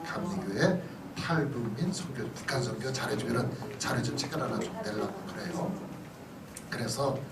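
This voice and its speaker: A middle-aged man, a pastor, giving a conversational sermon-like lecture, often checking in with his listeners. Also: on the high side. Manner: conversational, punctuated with short checking questions to listeners. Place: church hall. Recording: distant mic in echoey room, noisy.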